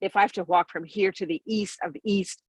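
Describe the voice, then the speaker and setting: A woman's voice: medium pitch, steady tone, brisk, slightly rough, no echo, lightly acted. A woman voicing a small male hobbit in a storybook, prim, formal and easily ruffled. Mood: indignant, dignified. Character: small, offended male hobbit standing on his dignity.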